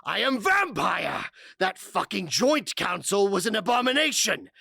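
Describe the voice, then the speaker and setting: A man's voice: sits high, sing-song, unhurried, vocal fry, pushed and bright, husky, no echo, studio quality, heavily acted. A man acting a proud, defiant vampire clan leader in an audio drama, speaking in a haughty, impassioned way. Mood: indignant. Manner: haughty, impassioned, theatrical delivery.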